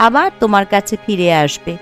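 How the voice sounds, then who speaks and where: A caring village housewife acted by a woman in a cartoon, speaking at a medium pitch. She is homely and encouraging, with faint music behind her. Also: expressive, a little fast, fairly bright tone, natural voice. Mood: consoling. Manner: consoling, homely. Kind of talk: cartoon voice acting.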